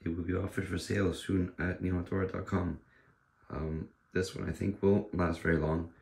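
A man with a hoarse, fading voice, casually showing off a sword with frequent pauses and restarts. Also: low voice, flat delivery, darkish tone. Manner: halting, with many fillers and restarts. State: hoarse, losing his voice. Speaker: sword dealer presenting a katana. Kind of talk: casual show-and-tell.

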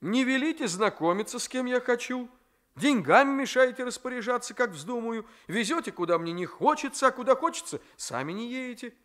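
A man reading a novel aloud as a stubborn, ironic gentleman who argues and teases. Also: very high-pitched, expressive, a little fast, voice pushed up. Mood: defiant. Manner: argumentative, teasing. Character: stubborn, ironic gentleman grandson standing his ground.

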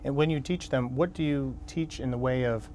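Measured male TV interviewer. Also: medium pitch, mellow, slight background noise. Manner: measured, inquisitive. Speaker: television show host, interviewer. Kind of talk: interview questions. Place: television studio set. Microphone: lapel mic.

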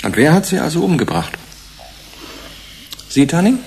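Persistent interrogator, gravelly, emphatic, measured, radio drama, slight background noise. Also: male voice, fairly high pitch, sing-song, darkish tone. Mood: accusing, probing. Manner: deliberate, emphatic questioning. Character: male UN commission investigator, probing, measured, persistent. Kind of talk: German radio drama acting.

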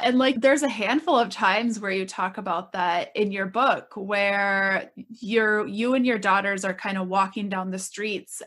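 A woman's voice: medium pitch, fairly bright tone. A woman hosting a podcast, introducing and interviewing a guest.

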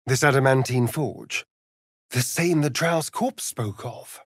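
A man's voice: medium pitch, slow pace, husky, no room sound, studio quality. A man playing a vain, sardonic, centuries-old vampire rogue in a video game, with an arch, theatrical delivery. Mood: serious, observant. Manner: arch, flamboyant, drawling, mocking. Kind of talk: video game character acting.

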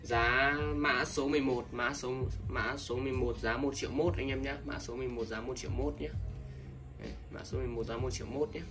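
A man selling used fishing reels in a casual, repetitive sales talk, filling pauses with ums and calling his buyers brothers. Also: mid-range voice, fairly even intonation. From Vietnam. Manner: repetitive, many fillers, addresses buyers as brothers. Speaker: fishing tackle seller. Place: indoors, table of fishing reels. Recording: distant mic, music playing behind.

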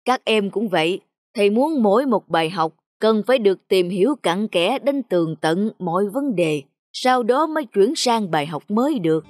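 A woman voicing a patient, wise old male village schoolmaster in a cartoon, measured and instructive. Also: medium pitch, lively intonation, darkish tone, no room sound, clean studio sound, close to an everyday voice. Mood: earnest, explaining. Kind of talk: scripted cartoon dubbing. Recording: music under the voice.

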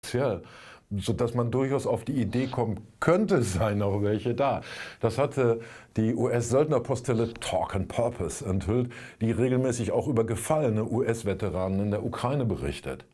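A man's voice: mid-range voice, animated, normal speed, mellow, husky. The sardonic older man at a studio desk who picks apart the week's politics in a satirical show. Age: 60s. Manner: sardonic, colloquial. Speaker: commentator, presenter. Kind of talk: satirical scripted political commentary. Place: indoor studio.

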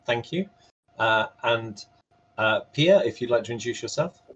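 Husky man moderating a panel. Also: mid-range voice, lively intonation, moderate pace, a bit bright. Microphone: video call. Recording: muffled, band-limited video call.

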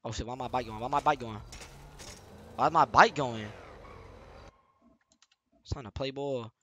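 A young man live-streaming a role-play game, talking in excited, boastful, repetitive street slang with lots of swearing. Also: mid-range voice, a little slow, slight background noise.

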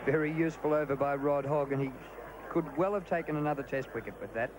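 A man calling ball-by-ball cricket commentary, lively and rising on big moments. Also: fairly high pitch, fast. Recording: noisy old broadcast tape.

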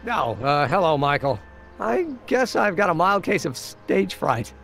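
An actor playing a nervous, lovestruck young inventor in a video game, with a formal, theatrical, excitable manner. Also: male voice, on the high side, measured pace, gravelly, soft background music, full character voice. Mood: nervous excitement. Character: nervous, lovestruck young male inventor, easily flustered and dramatic. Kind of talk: animated video game acting.

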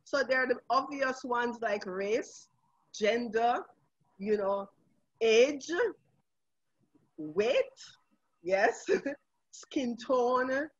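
A woman's voice: fairly high pitch, very slow, pauses often. A woman hosting and moderating a panel discussion. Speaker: forum host and moderator. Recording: harsh, roomy, dull online call audio.

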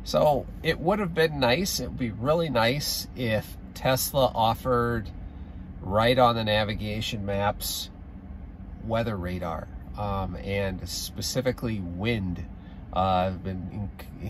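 A man's voice: fairly low pitch, background noise. A man in his forties chatting to a phone camera from inside his parked car, loose and casual with plenty of ums and uhs. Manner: chatty, plain-spoken, many ums and uhs. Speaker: road trip vlogger. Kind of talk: casual vlog talking to camera. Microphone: phone camera at arm's length.

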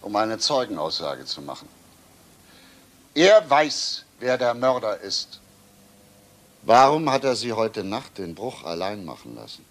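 A man's voice: fairly low pitch, sing-song, a little slow, long pauses. A man playing a relentless, sarcastic police inspector in a crime radio drama, firing insistent questions. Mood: probing.

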